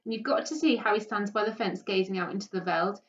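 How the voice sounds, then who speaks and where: A woman voicing a worried, earnest mother reasoning gently in a storybook reading. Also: medium pitch, fast, reverberant, natural voice. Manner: earnest, persuading. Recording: dull, distant microphone.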